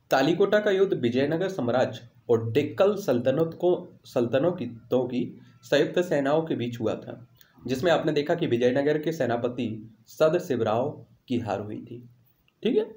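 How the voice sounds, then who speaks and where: A man's voice: a little high, a little mellow, echoey room. An Indian man teaching an online exam lesson in question-and-answer style, repeating questions and answers in an instructional way.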